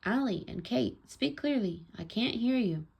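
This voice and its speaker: A woman's voice: fairly low pitch, very animated, moderate pace, gravelly, slightly rough, lo-fi sound, exaggerated voice. A woman voicing a practical, easygoing father in a children's storybook. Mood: calling out. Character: easygoing, practical father of two young girls.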